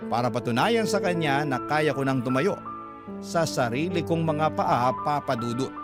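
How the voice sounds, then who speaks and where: Loud music runs under a young Filipino man's fairly high voice , an actor's. He narrates in the first person as an aggrieved younger brother, in the confessional style of a radio drama. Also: animated, moderate pace, close to an everyday voice. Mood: resolute. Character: aggrieved younger brother telling his story. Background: loud music bed.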